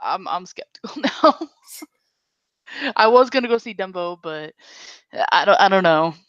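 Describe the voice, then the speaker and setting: A woman's voice: mid-range voice, animated, unhurried, a bit bright, no echo. A young woman chatting casually as a podcast guest, giving her opinions bluntly and emphatically. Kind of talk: casual chat. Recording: dull, lo-fi, close dry audio.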